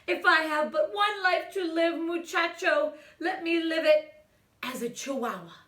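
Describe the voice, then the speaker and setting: A woman voicing an excitable, boastful young boy kitten in a theatrical, sing-song rhyming storybook manner. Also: extremely high pitch, measured pace, reverberant, pitched above the performer's own voice. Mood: boastful, showy.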